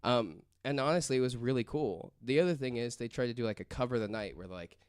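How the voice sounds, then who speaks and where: A man's voice: mid-range voice, expressive, fast, smooth, no room sound. Clean, dry-voiced man explaining on a podcast. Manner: rambling explainer, peppered with "like" and hedging asides. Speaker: podcast host presenting a researched story. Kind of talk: casual podcast talk mixed with reading notes.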